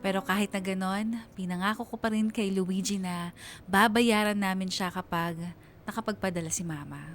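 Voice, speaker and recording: A woman's voice: mid-range voice, moderate pace, soft background music, lightly acted. A woman playing a young student who earnestly and remorsefully narrates her love story in a confessional radio drama style. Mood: remorseful, reflective. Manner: reflective, confessional.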